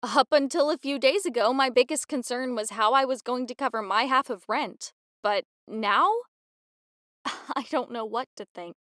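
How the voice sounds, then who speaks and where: A woman's voice: on the high side, measured pace, a bit bright, no echo, studio quality. A woman playing a distrustful, blunt young adventurer in a fantasy drama, with a sardonic manner. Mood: uneasy. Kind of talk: fantasy audio drama acting.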